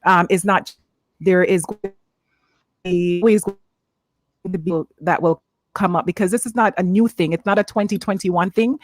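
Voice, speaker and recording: A woman's voice: fairly low pitch, steady tone, moderate pace, pauses often, no echo. Young jamaican-born woman, earnest, close dry desk mic on a video call. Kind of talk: panel discussion. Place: room at home. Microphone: desk microphone on video call.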